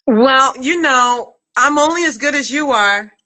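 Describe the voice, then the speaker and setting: A woman's voice: sits high, unhurried, bright, forceful tone, low-quality recording. A middle-aged woman hosting a casual live chat in an effusive, affectionate manner. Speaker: podcast writer-director hosting a live chat.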